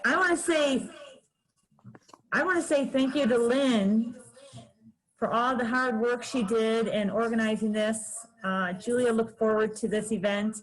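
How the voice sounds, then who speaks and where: A woman's voice: on the high side, fairly even intonation, a little slow, poor audio. A woman from the audience giving casual, unscripted thanks on a group call.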